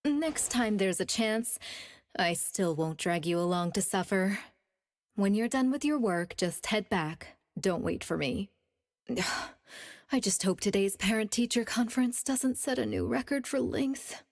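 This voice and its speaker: A woman's voice: mid-range voice, animated, measured pace. A woman voicing a playful, teasing adult colleague in a video game, with a theatrical drawl. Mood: teasing, breezy.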